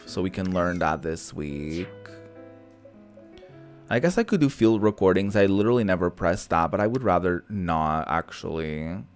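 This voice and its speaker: A man's voice: sits low, expressive, moderate pace, clear, resonant tone, faint music behind. A man giving casual, chatty let's-play commentary in a playful, campy manner, reading bits of dialogue aloud. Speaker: video game streamer.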